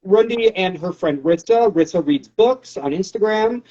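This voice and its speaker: A man's voice: high-pitched, expressive, normal speed, dark tone, muffled recording. A man in his forties talking casually in an interview, rambling in a wry, self-deprecating way. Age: 40s. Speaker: poetry show organizer.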